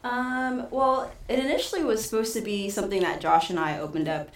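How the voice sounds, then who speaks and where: A woman who coaches and promotes boxing, telling her story in a soft-spoken, casual way. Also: fairly high pitch, fairly quick. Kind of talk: casual interview answers. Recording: echoey room.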